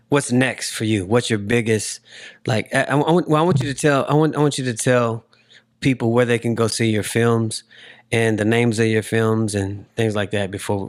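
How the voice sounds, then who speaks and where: A man hosting a casual interview, talking loosely and working his way haltingly into each question. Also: on the low side, fairly even intonation. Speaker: podcast host.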